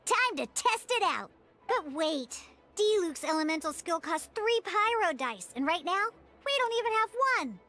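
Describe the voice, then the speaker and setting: A woman voicing a peppy young cartoon mascot in a video game tutorial, chirpy and childlike, eagerly explaining with expressive exclamations. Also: extremely high pitch, normal speed, heavily acted. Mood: explaining, encouraging.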